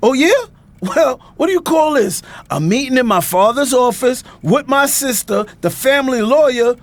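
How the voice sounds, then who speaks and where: A man's voice: very high-pitched, lively intonation, moderate pace. A man playing an angry, wounded grown son in an audio drama, sarcastic and slangy as he defies his family. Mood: indignant, angry.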